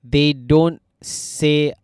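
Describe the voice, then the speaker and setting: A young man with a Malaysian English accent, chatting casually, opinionated and halting with frequent restarts. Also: a little high, steady tone, darkish tone, clear, resonant tone, no echo, studio quality. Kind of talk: casual chat.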